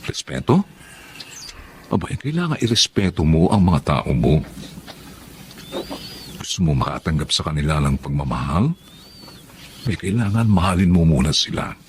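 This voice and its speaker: An actor with a Filipino accent playing a caring, frank older brother who admonishes with examples and rhetorical questions in a conversational drama. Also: male voice, extremely low pitch, big pitch swings, normal speed, long pauses, a little hiss or hum. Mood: caring, advising. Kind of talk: conversational Filipino drama acting with English phrases.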